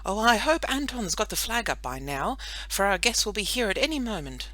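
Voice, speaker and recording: Brief: a reader playing a doting, worried mother, bright and lively. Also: mid-range voice, fairly quick, dry recording, pitched above the performer's own voice, poor audio. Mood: fond. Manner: gentle, fretting. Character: fond, anxious farm mother. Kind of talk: storybook reading.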